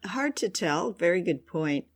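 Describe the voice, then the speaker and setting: A woman's voice: fairly low pitch, animated, a little slow. A woman from Canada co-hosting a podcast in casual discussion. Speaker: podcast co-host.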